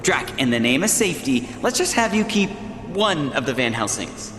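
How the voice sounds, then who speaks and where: A man's voice: mid-range voice, very animated, moderate pace, a bit bright, faint music behind. A man voicing a glib, scheming supernatural reality show host in a video game, breezy, flippant and theatrical. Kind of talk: cartoonish video game acting. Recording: very echoey hall sound, faint ambience.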